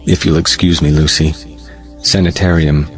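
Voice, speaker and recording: An actor playing an earnest, proud, devoted husband in an audio play, speaking solemnly. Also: male voice, rumbling low, little pitch movement, moderate pace, faint music behind. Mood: proud, emotional. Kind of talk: stage play audiobook acting.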